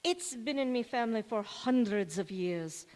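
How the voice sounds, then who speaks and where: A woman's voice: fairly high pitch, lively intonation, normal speed, darkish tone, a little hiss or hum, natural voice. Woman playing dour old maidservant, echoey hall. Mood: solemn, mysterious. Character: old Scottish maidservant and former nanny, dour and devoted. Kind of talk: golden age radio drama acting. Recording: echoey church hall stage recording.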